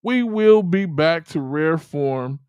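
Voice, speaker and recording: A man's voice: on the high side, lively intonation, slow pace, darkish tone, smooth, no room sound, studio quality. A man co-hosting a sports talk show, passionately defending his team in a heated debate, stammering and repeating words when worked up. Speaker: co-host.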